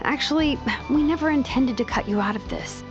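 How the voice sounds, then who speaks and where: Game role: woman as analytical young strategist, high and articulate, wary, muffled stream with clear music behind. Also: a little fast, lightly acted. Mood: earnest warning. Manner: articulate, explanatory. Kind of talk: dramatic video game dialogue.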